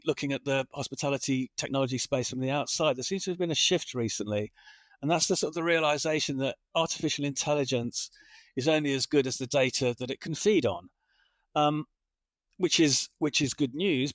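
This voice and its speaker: A man's voice: fairly high pitch, no room sound. A man hosting a corporate podcast, chatty and loosely structured, repeating phrases while he frames his questions. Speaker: podcast host.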